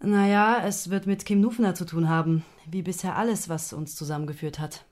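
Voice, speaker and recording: An actress playing a poised, guarded young professional woman in a radio play, polite, brisk and a little wry. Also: lowish voice, steady tone, darkish tone, close to an everyday voice. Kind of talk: naturalistic radio play dialogue.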